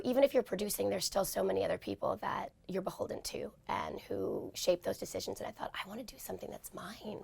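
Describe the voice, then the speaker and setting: A woman in her forties from New York, hoarse from talking too much, chatting in an interview with quick self-deprecating asides. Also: medium pitch. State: hoarse, lost voice. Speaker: interview guest. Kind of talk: casual interview talk.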